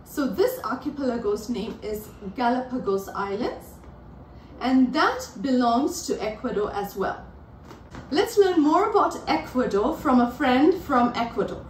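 A woman hosting a children's circle time lesson, explaining things slowly and gently as a teacher. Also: high voice, big pitch swings, a little hiss or hum. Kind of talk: children's lesson, slow and clear. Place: living room with plants. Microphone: far camera. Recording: roomy echo, from far off.

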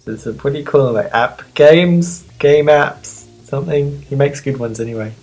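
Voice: mid-range voice, normal speed, darkish tone. Sniffly, rambling magazine reviewer over music. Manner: rambling, playful, self-deprecating. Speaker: magazine reviewer and video host. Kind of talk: casual off-the-cuff review chat.

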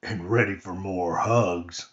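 A man's voice: low voice, steady tone, slow pace, a bit bright, highs cut off. A man doing a big sleepy bear.

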